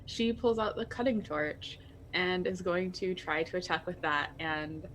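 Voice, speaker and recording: A woman's voice: fairly low pitch, unhurried, fairly bright tone, slight background noise, low-quality recording. A woman playing a tabletop roleplaying game, hesitantly thinking aloud as she casually narrates what her character does.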